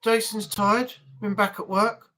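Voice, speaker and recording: A woman's voice: medium pitch, fairly even intonation, a little slow, a bit bright. A woman hosting a casual weekly livestream chat, talking to viewers in a chatty, rambling way. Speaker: livestream host.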